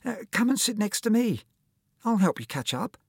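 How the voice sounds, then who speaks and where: A man's voice: high-pitched, big pitch swings, moderate pace, creaky, slightly rough, no room sound, clean studio sound, voice pushed up, heavily acted. A man voicing a small, timid, helpful schoolboy with an eager, stammering manner.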